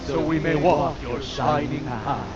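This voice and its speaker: A man's voice: a little high, measured pace, lo-fi sound, heavy background noise, lightly acted. A man playing a solemn, fanatical fire priest in a video game, delivering prayers as an incantation. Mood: fervent. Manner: chanting, incantatory.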